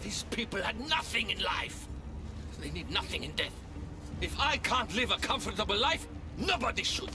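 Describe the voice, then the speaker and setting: High, indignant greedy merchant. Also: male voice, animated, normal speed, recorded from a distance, over music. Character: greedy, indignant male rich merchant caught stealing. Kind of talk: video game cutscene acting.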